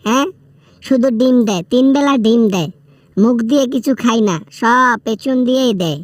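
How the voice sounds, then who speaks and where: A man's voice: extremely high pitch, moderate pace, no room sound. A man with a Bangladeshi accent playing a whiny, frightened prisoner in a comic phone-call skit, pleading, stammering and repeating himself. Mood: complaining. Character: whiny, frightened male prisoner begging for mercy.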